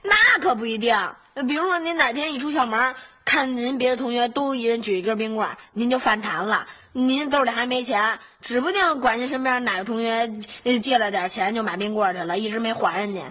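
A woman's voice playing a cheeky, quick-witted child in a family sitcom. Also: on the high side, lightly acted. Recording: loud noise and audience behind.

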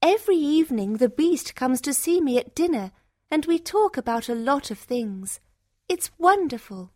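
Woman voicing a high-pitched, kind young storybook heroine. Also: animated, normal speed, dark tone, no echo, studio quality. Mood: happy, proud. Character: kind, gentle young fairy-tale heroine, devoted daughter and sister.

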